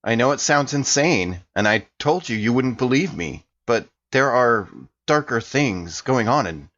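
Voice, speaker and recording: Guy playing an earnest defensive suspect in a tabletop rpg, mid voice, kinda halting, slightly lo-fi sound. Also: medium pitch, lively intonation, fairly bright tone. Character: earnest, defensive man held for questioning. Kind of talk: improvised tabletop roleplay.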